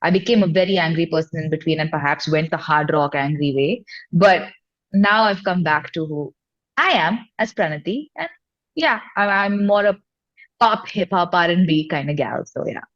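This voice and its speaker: A woman's voice: on the low side, animated, normal speed. Woman chatting casually, dull audio. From India. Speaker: singer-songwriter guest. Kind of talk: casual chat in an interview.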